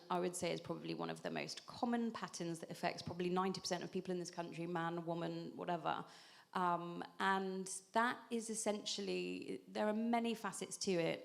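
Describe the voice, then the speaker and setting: A woman's voice: on the low side, gravelly. A woman in her thirties, an acupuncturist on a panel, explaining at length in a conversational way with frequent ums and restarts.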